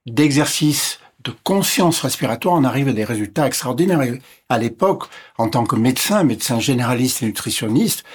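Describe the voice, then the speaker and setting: Husky-voiced man explaining patiently. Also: mid-range voice. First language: English. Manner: measured, lists and repeats points while explaining. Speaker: guest, physician. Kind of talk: explanatory interview talk.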